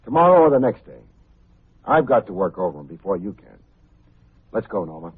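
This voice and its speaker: An actor playing a tough, wry but good-hearted private detective in old-time radio style, with a hardboiled, wisecracking manner. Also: male voice, fairly low pitch, big pitch swings, brisk, breathy edge, full character voice. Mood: brisk, wry. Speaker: lead actor and narrator. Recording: muffled old radio recording, music behind.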